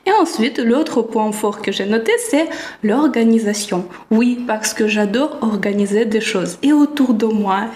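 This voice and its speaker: A woman with a fairly high voice talks in a thoughtful, searching way, sometimes restarting a thought mid-sentence. She speaks as a self-development vlogger reflecting on herself. The recording carries a noticeable echo from the room. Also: darkish tone. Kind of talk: personal reflective vlog talk.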